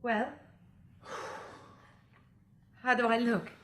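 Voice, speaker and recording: An actress playing a genteel British woman surviving an apocalypse in a radio drama, expressive and a little hesitant. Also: a little high, brisk, slight background noise, close to an everyday voice. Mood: coy, playful. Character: genteel, anxious but playful female apocalypse survivor.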